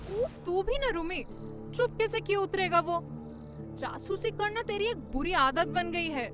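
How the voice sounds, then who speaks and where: Woman acting a very high-voiced young hostess. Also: animated, measured pace, over music. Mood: mildly exasperated. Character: cheerful, organizing young hostess granddaughter. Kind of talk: Hindi cartoon story voice acting.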